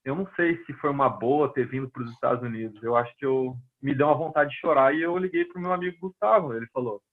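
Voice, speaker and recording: A man's voice: medium pitch, quick pace. A man from Brazil with a Brazilian Portuguese accent, a personal trainer telling stories from his work in a casual interview and acting out the dialogue he quotes. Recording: tinny phone-line audio.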